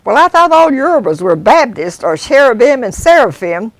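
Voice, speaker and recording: A woman's voice: on the high side, very animated, normal speed, dry recording, pitched above the performer's own voice. A woman voicing an amused husband who makes wry, teasing remarks.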